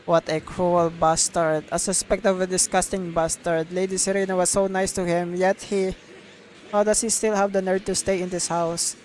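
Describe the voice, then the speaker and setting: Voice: mid-range voice, level tone, moderate pace, darkish tone, slight background noise, rough recording. A streamer reacting live to a game and reading its text aloud.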